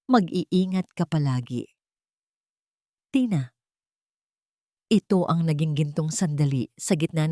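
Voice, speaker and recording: Low-voiced woman narrating a drama. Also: a little mellow, no echo, studio quality. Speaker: story narrator.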